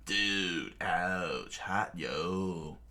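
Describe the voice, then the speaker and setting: A man's voice: low voice, very slow, sharp tone, full character voice. A man voicing a goofy young pirate hero in an anime story, with casual, slangy dude talk. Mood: mildly pained.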